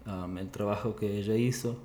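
A man interviewing a guest on a podcast, asking his questions in a casual, halting way. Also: fairly low pitch, fairly even intonation, warm tone. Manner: hesitant, halting. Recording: echoey room with background noise.

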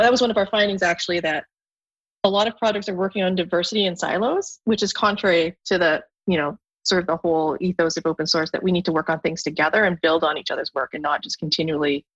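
A woman from Canada, a guest on a podcast, talking thoughtfully in a relaxed conversation, often restarting her sentences. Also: lowish voice, breathy edge, muffled recording. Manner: thoughtful, with frequent restarts and fillers. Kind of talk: conversational interview.

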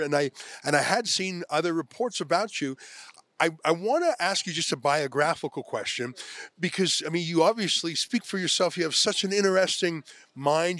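A man's voice: a little high, fairly bright tone, no echo. A man interviewing a guest at a conference, chatty and affirming, steering with leading questions. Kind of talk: conversational interview. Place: conference venue.

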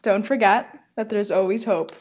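A young woman hosts a casual podcast and talks in an effusive, chatty way with plenty of fillers. Her pitch sits in the middle range. The audio is dull and lo-fi. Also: steady tone, normal speed. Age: young adult. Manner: warm, effusive, many fillers. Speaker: podcast host.

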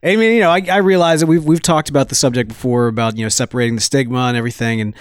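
A man's voice: mid-range voice, racing, clean tone, dry recording, studio quality. A man in his thirties co-hosting a casual show, rambling and joking as he tells stories. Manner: rambling, jokey storyteller. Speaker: podcast co-host. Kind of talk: casual chat.

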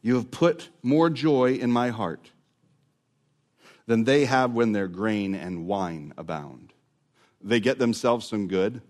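Man preaching, swinging loud to hushed. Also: fairly low pitch, lively intonation. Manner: dramatic swings from loud to hushed, drawn-out words. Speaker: pastor. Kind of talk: sermon.

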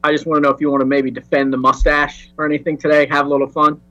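A man's voice: a little high, flat delivery, racing, bright, forceful tone. A man working as a sports reporter, putting an informal press conference question, on a lo-fi clip. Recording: dull, dry lo-fi audio clip.

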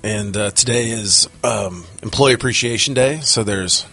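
A man co-hosting a casual podcast, hoarse from a cold, rambling with many fillers and restarts. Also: fairly low pitch, a little slow, pushed and bright. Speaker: podcast co-host. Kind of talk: casual chat. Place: office.

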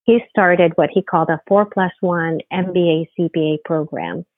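A middle-aged woman from the Philippines, a company head, talking haltingly in a podcast interview with many fillers and restarts. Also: lowish voice, fairly even intonation, no room sound. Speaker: guest, firm CEO. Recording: tinny phone-like line.

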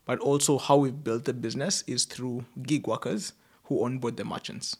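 A man's voice: medium pitch, steady tone, normal speed, slightly rough. A man from near Nairobi with a Kenyan accent, telling stories casually as an interview guest in informal, slangy speech. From outskirts of Nairobi, Kenya. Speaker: interview guest, startup founder. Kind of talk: casual conversational storytelling.

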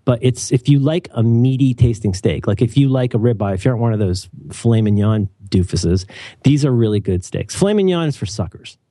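A man hosting a casual chat, animated and opinionated, joking often and restarting his sentences. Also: mid-range voice, a little fast, no room sound, studio quality. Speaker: podcast host.

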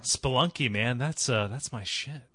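A man with a deep voice, co-hosting a casual podcast chat, laughing often and retelling scenes with acted-out quotes. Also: measured pace, over music. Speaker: podcast co-host.

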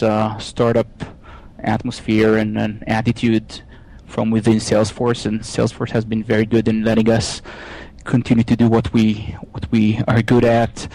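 Israeli-accented man, halting speech. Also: mid-range voice, a little mellow, poor audio. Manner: halting, frequent restarts and fillers. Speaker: software developer guest. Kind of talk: casual podcast interview.

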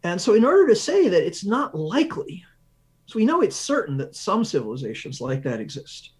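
Higher-pitched man explaining science. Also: huge pitch swings, fairly quick. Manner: thinks aloud, poses questions and answers them with examples. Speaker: research professor, interview guest.

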